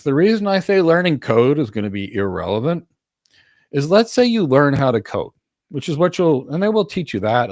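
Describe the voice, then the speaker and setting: A man's voice: medium pitch, no room sound, clean studio sound. A man coaching in an informal Q&A, explaining in an assertive, emphatic way and repeating key words for stress. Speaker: career coach and host answering audience questions.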